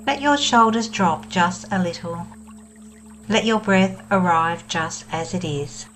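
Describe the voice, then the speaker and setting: A woman guiding a meditation in a soft, soothing voice, speaking in calm, unhurried phrases. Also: lowish voice, slight background noise. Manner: soft, slow and soothing, with long pauses. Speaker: meditation guide. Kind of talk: guided meditation.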